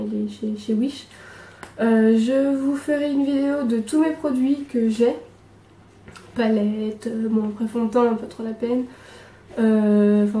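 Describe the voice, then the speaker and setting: A young woman with a hoarse, cold-roughened voice, hesitantly talking through a makeup tutorial. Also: on the high side, mellow, far from the mic, a little hiss or hum. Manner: hesitant, many pauses and restarts. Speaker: beauty vlogger. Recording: echoey room, away from the mic.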